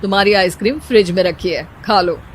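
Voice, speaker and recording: A woman's voice: mid-range voice, brisk, slight background noise, close to an everyday voice. A woman voicing an exasperated, chiding middle-aged mother-in-law in a cartoon story. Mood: scolding. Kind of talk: Hindi cartoon story dialogue.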